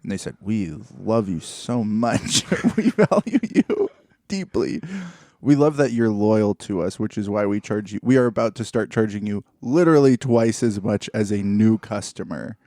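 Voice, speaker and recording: A man's voice: fairly low pitch, animated, moderate pace, mellow, dry recording, studio quality. A man, middle-aged, chatting casually and telling anecdotes with a wry, mock-formal turn of phrase, as a podcast co-host.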